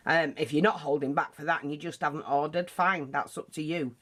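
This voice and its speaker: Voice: mid-range voice, steady tone, fairly quick, fairly bright tone. British crafting tutor, stuffy nose, rambling friendly delivery. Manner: chatty, rambling with asides. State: blocked nose. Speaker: craft teacher.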